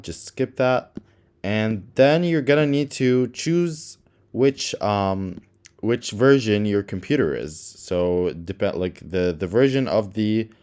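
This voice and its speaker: Low-pitched man giving a casual tutorial. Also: a little mellow. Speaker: tutorial host. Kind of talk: casual how-to screen tutorial narration.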